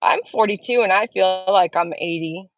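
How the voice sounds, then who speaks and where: A woman's voice: mid-range voice, moderate pace, over music. A woman hosting a casual live stream, chatting and earnestly answering viewers' comments as she reads them, recorded at home. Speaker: livestream host.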